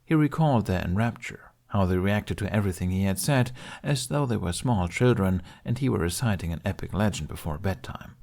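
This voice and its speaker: A man with a lowish, creaky voice reads scripted fiction. He narrates in the manner of an audiobook. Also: animated, a little fast, hardly any pauses, darkish tone.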